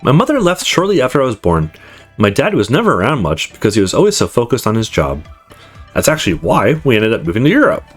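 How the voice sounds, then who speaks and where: A man's voice: medium pitch, huge pitch swings, fast talker, slightly rough. A man voicing a confident, upbeat male student who narrates his life in a visual novel, in a breezy, conversational way. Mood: upbeat, reflective. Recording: music playing behind the voice.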